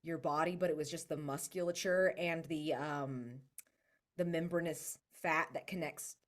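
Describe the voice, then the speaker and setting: A woman's voice: low voice, steady tone, moderate pace. A woman playing a tabletop game, casually improvising descriptions and searching for her words as she goes.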